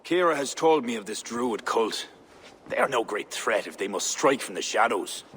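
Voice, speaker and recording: An actor playing a proud, guarded king who speaks in a formal, grave, deliberate way. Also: mid-range voice, animated, a bit bright, a little hiss or hum, lightly acted.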